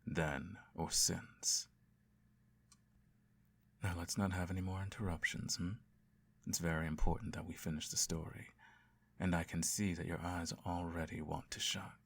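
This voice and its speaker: A man acts out an evil emperor in a bedtime audio roleplay. His voice sits very low, with a heavy gravelly creak. He tells his tale to a child with measured grandeur, self-righteous and doting, yet quietly menacing. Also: heavily acted. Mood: scornful, then gently firm.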